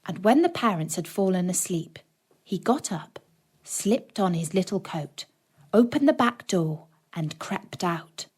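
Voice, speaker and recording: A woman narrating a children's story expressively, gently addressing young listeners. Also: on the low side, sing-song, measured pace, husky.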